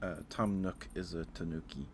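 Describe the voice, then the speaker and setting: A soft-spoken man hosting a casual ASMR stream, chatting with viewers and musing aloud in a hesitant way. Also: low voice, normal speed, noisy background.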